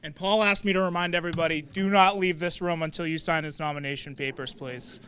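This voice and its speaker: A man emcees a political candidates night and introduces each speaker briskly and informally. His voice is high-pitched and smooth. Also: fairly even intonation, a bit bright. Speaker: event emcee.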